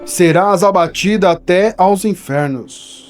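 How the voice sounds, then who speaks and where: A man's voice: high-pitched, slow pace, soft background music. A man from São Paulo with a paulistano accent, explaining a Bible verse in a studio in a conversational teaching style that keeps checking in with his listeners. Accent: São Paulo Portuguese. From São Paulo, Brazil. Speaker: preacher.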